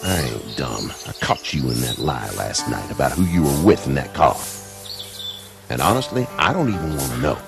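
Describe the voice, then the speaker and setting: Very deep, gravelly old farmer. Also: male voice, sing-song, moderate pace, music in the background, heavily acted. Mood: stern, probing. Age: older. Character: gruff, shrewd old farmer, blunt, fatherly, proud of family. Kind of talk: video game voice acting.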